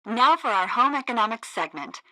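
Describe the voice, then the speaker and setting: A woman's voice: a little high, animated, normal speed, sharp tone, no echo, poor audio. An actress playing a sassy, peppy female news presenter on a satirical radio show, with an exaggerated newsreader delivery. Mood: upbeat.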